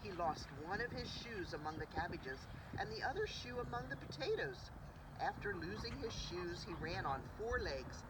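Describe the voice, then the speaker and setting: A woman reading a children's storybook aloud like a preschool teacher, with expressive, dramatic emphasis for young listeners. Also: fairly low pitch, moderate pace. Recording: distant mic with loud background noise.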